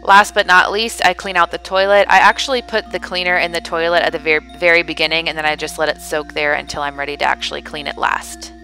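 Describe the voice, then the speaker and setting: A woman's voice: low voice, fairly even intonation, fast talker, few pauses, pushed and bright, soft background music. A woman in her thirties filming herself at home, chatting casually through her cleaning day with lots of "like" and "um". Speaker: vlogger. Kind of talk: cleaning vlog narration and selfie chat. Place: large house, indoors. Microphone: phone camera at arm's length.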